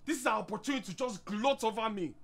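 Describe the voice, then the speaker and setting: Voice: mid-range voice, fast, fairly bright tone, faint music behind. An actor playing a proud, defensive, bitter husband in a screen drama, with a sarcastic, self-justifying way of talking. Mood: indignant. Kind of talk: naturalistic screen drama acting.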